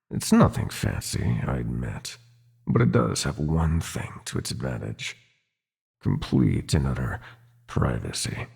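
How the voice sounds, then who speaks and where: A man playing a sinister, seductive nightmare god in a roleplay audio drama, gloating and possessive, with a slow theatrical, teasing drawl. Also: rumbling low, gravelly, darkish tone, breathy edge, full character voice. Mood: silky, possessive. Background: music.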